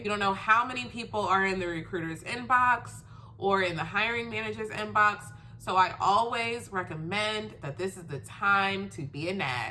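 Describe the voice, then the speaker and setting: A woman's voice: mid-range voice, sharp tone, a little hiss or hum. A congested woman with a stuffy, nasal voice from a cold, giving casual career tips in a chatty, emphatic way. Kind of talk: casual how-to advice video.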